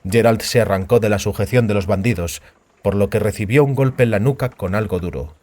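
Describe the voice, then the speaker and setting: A man's voice: on the low side, brisk, darkish tone, slight background noise. A man narrating a fantasy audiobook in a scripted, dramatic storytelling style. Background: music.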